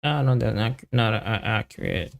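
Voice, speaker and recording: A man on a casual coding livestream, thinking aloud in halting, muttered fragments as he works. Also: medium pitch, gravelly. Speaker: developer live-streaming coding.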